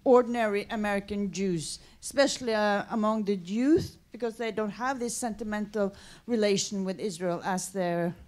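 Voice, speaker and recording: A woman audience member making a spontaneous comment and question, halting as she searches for words. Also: medium pitch, steady tone, a little mellow. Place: audience at a public talk.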